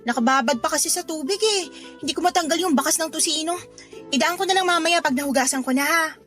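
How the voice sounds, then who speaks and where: A woman with a Filipino accent playing a sassy, defensive, thrifty neighbour in a radio drama, expressive and sarcastic, over music. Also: sits high, quick pace. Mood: breezy, teasing. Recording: music bed under the voice.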